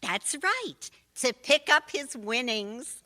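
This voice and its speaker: A woman's voice: on the high side, sing-song, slow, bright, forceful tone, full character voice. An actress playing an earnest, trusting wife in a radio detective drama, chatty and insistent as she explains. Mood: defensive. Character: earnest, loyal, trusting wife, adult woman.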